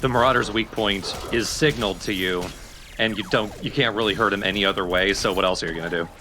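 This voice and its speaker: A man's voice: fairly low pitch, fast, bright, forceful tone, a little hiss or hum. A man chatting casually as a co-commentator on a gameplay video. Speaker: let's play co-commentator. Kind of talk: casual chat. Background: video game music.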